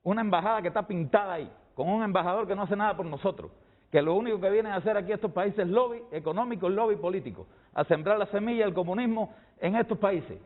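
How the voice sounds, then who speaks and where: A middle-aged man with a Cuban accent testifies in a heated panel debate. His voice is notably high and he repeats phrases for emphasis. The sound is dull and band-limited. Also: quick pace. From Cuba, rural. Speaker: debate guest, doctor.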